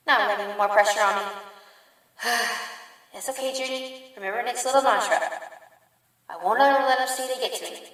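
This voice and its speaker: Woman acting a young rabbit lawyer, earnest, emphatic, self-doubting, high voice, a bit distant from the mic, audio drama. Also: sharp tone, roomy sound. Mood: flustered, muttering. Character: young female rabbit defense lawyer, earnest, flustered, determined.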